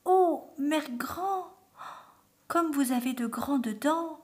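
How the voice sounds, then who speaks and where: An innocent little girl from a storybook, played by a woman. The voice sits high, with an earnest, wide-eyed manner. She sounds trusting and polite. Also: big pitch swings, measured pace. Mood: amazed.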